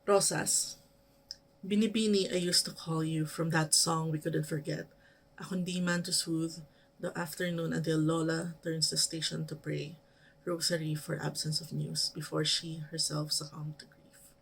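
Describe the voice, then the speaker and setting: A woman with a Filipino accent and a creaky voice, reading her own poetry dramatically. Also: low voice, little pitch movement, normal speed, poor audio. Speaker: poet reading own work.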